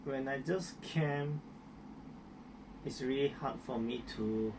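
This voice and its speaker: Slow, hesitant Malaysian man, gravelly voice. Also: medium pitch, recorded from a distance, background noise. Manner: hesitant, many restarts and fillers. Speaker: interviewee. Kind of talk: casual interview answers.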